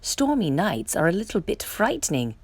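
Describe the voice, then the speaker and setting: A woman's voice: fairly low pitch, sing-song, moderate pace, no room sound. A woman voicing a nervous, fretful farm goose in a children's storybook.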